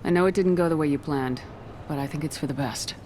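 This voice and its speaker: A female military commander played by an actress talks in a very deep voice for a woman, with clear ambient noise behind her. She is steady and supportive, composed and direct, as in video game dialogue. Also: fast, lightly acted. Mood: reassuring.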